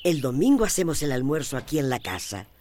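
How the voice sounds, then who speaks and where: An actress playing a cantankerous but affectionate elderly widow in a radio drama, with a Central American accent. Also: female voice, low voice, sing-song, fairly quick, a little mellow. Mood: wistful, restless.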